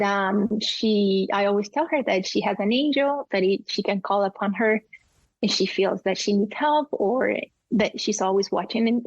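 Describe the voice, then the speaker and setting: A woman's voice: medium pitch, dry recording, dull audio. A woman in her forties from Colombia with a Colombian Spanish accent, whose first language is Spanish, talking candidly and emotionally as an interview guest, with many fillers and restarts. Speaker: guest, cinematographer. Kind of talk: casual interview conversation.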